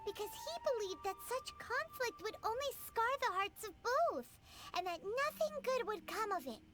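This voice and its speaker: Super high squeaky fairy kid from a game, really swoopy, loud music behind. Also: female voice, very animated, normal speed. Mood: earnest, eager. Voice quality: squeaky, childlike. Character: tiny childlike fairy companion, earnest and excitable. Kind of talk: scripted game cartoon-like acting.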